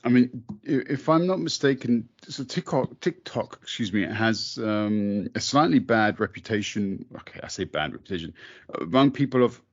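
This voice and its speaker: A man's voice: on the low side, expressive, moderate pace, no echo, lo-fi sound. A middle-aged man hosting a casual interview, chatting easily with lots of "you know" fillers.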